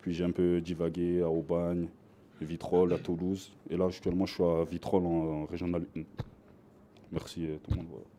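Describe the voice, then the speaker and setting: A man's voice: sits low, little pitch movement, fairly quick, dark tone, slight background noise. A man from Marseille introducing himself as a basketball player, hesitating often. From Marseille, France. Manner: hesitant, many fillers. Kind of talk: self-introduction.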